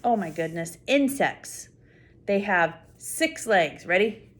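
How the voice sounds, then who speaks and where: Older female, grandmotherly story-time reader. Slow pace, lively swooping intonation, expressive and wide-eyed, talking to little kids. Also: mid-range voice, slight background noise. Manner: expressive, full of wonder, simple explanations for small children. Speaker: grandmother reading a picture book to children. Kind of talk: read-aloud story time with spontaneous asides to a child.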